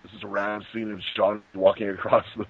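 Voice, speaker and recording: A man's voice: on the low side, level tone, fast, gravelly, breathy edge, narrow, tinny audio. A man giving casual, mocking commentary on a film. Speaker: co-host of a movie riffing show.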